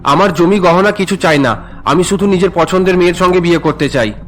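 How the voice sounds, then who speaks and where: A resentful young son and unwilling groom in a cartoon, voiced by a man. His voice is high for a man, whiny and indignant. There is faint music behind it. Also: fairly quick, a bit bright. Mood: protesting.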